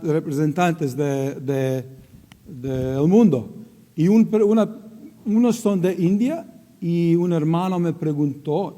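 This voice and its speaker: A middle-aged Croatian man, a missionary, speaking in halting non-native Spanish, searching for words and slipping into English at times. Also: fairly high pitch, mellow, long reverb tail. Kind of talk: church greeting and testimony. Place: church sanctuary.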